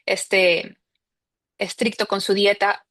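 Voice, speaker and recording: A woman from Ecuador with an Ecuadorian Spanish accent, a cardiologist answering interview questions in a measured way, often saying "este" and slipping in English medical terms. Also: medium pitch, little pitch movement, a bit bright, dry recording, clean studio sound. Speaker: cardiologist guest.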